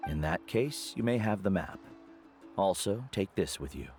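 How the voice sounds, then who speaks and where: An actor voicing a gentle, caring older mentor guide in a video game, speaking in a measured, solemn way. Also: male voice, low-pitched, lively intonation, soft background music. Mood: calm, caring.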